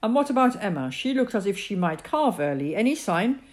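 Woman reading as a blustering farm dad, loud and gruff, lively swings in pitch. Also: fairly quick, lightly acted. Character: gruff middle-aged male farmer, blustering.

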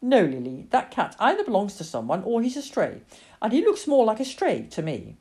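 A woman's voice: medium pitch, huge pitch swings, brisk, mellow, pitched above the performer's own voice. A woman voicing a firm, exasperated farmhouse mother in a story, scolding sharply.

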